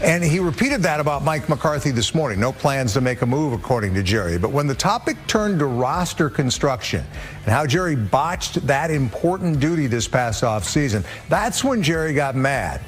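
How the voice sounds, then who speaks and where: A man's voice: mid-range voice, animated, moderate pace, soft background music. A man hosting a TV sports show, delivering scripted commentary in a punchy, conversational anchor style. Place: TV studio.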